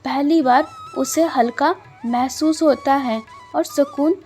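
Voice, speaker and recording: A woman narrating a Hindi cartoon story in a gentle, measured, moralizing style. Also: high-pitched, faint music behind.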